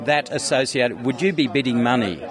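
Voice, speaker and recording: A man's voice: mid-range voice, brisk. An Australian man chatting casually, telling anecdotes in a rambling, hesitant way. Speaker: interviewee, skeptics convention organiser. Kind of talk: casual chat. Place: busy convention venue. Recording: crowd chatter behind the voice. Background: crowd chatter.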